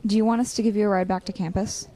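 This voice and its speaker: A woman's voice: medium pitch, steady tone, rapid-fire, mellow, natural voice. A woman acting a blunt, wry and protective young friend in a naturalistic audio drama. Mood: caring.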